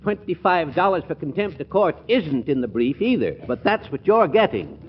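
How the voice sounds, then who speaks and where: A dull old radio recording of an impatient judge. His rather high voice is curt and irritable. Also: sing-song, normal speed, slight background noise. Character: brusque, impatient male courtroom judge. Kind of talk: 1940s radio comedy acting.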